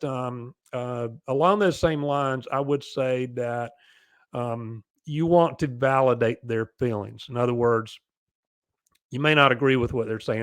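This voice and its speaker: A middle-aged man with a booming bass-baritone voice, giving advice in a conversational interview and often repeating words as he goes. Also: no echo, studio quality. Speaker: guest expert advising parents.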